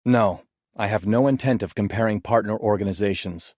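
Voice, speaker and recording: An actor playing a stringent, efficient executor in a game, with precise, formal, matter-of-fact delivery. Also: male voice, lowish voice, fairly quick, a little mellow, dry recording, studio quality, lightly acted. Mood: businesslike. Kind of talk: scripted game character monologue.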